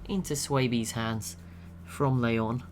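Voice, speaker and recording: A young man giving relaxed, chatty commentary over a strategy game he is watching, laughing to himself now and then. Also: medium pitch, takes it slowly, a little hiss or hum. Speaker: gaming channel host.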